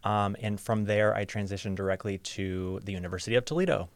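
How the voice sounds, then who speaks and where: A young man from Ohio speaking as a podcast guest, open and reflective, sometimes halting. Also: fairly low pitch. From Ohio, USA. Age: young adult. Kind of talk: casual interview storytelling.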